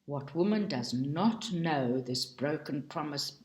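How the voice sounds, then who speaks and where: Slow, expressive woman reading aloud. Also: low voice. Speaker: reader. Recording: roomy echo.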